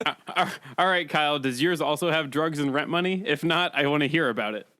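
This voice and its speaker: A man hosts a casual panel podcast with a bright, clean voice. He moderates conversationally, handing over to the others. The sound is close and dry. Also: on the high side, a little fast, dry recording. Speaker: podcast host.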